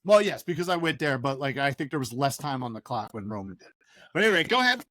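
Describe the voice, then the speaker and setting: A man's voice: medium pitch, very animated, fast talker, bright, forceful tone, no echo, clean studio sound. A man, co-hosting a casual podcast from his record shop, ranting and swearing with energy. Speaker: podcast co-host and record store owner. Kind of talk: casual chat.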